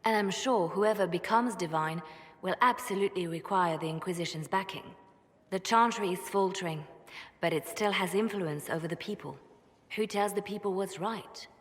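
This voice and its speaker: Casting: dignified fantasy-game churchwoman female actor, slightly low register, deliberate, quietly ambitious, reverberant hall. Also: close to an everyday voice. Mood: resolute. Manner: measured, thoughtful. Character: composed, ambitious female church candidate and adviser. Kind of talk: dramatic video game dialogue.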